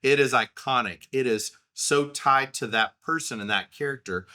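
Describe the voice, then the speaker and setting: A man's voice: medium pitch, moderate pace, sharp tone. A man in his thirties with an Oklahoma accent, telling rambling, self-deprecating stories in a casual solo talk.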